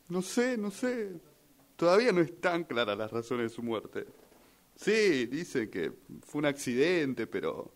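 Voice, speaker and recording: Un hombre con acento argentino que interpreta en un radioteatro a un hijo en duelo, dubitativo y lleno de sospechas. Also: male voice, fairly high pitch, heavily acted. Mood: troubled, doubtful. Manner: hesitant, repeats phrases. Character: grieving son troubled by suspicions over his father's death. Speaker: radio drama workshop participant. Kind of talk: rehearsed radio drama acting.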